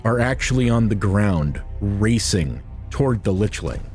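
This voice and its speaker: A man speaks emphatically and descriptively, as if running a tabletop game. He leaves dramatic pauses on key words, and music plays clearly behind him. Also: fairly low pitch, expressive. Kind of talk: animated talk and improvised game narration.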